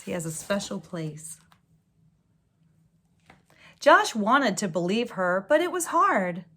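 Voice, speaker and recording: A woman reading a children's storybook aloud like a teacher, with expressive delivery and gentle asides. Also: mid-range voice. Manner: slow, deliberate, expressive storytelling.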